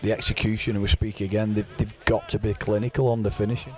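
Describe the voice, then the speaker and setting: A man giving co-commentary on an amateur rugby league match outdoors in the rain, measured and matey. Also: lowish voice, flat delivery, crowd noise. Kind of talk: rugby league expert analysis. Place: outdoors at a rainy rugby ground. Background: spectators and rain.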